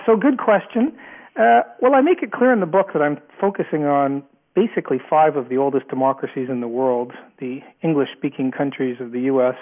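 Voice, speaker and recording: A man with a Canadian accent explaining his views as a guest author in an interview, often repeating words as he goes. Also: medium pitch. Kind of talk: spoken interview answers, explanatory. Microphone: telephone. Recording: narrow, tinny phone line.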